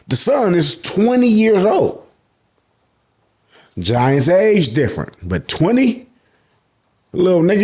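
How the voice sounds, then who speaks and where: An American man giving a casual, joking recap as a channel host, full of slang and swearing and acting out dialogue. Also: fairly high pitch. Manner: slangy, profane, joking, animated. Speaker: host of an anime and manga review channel.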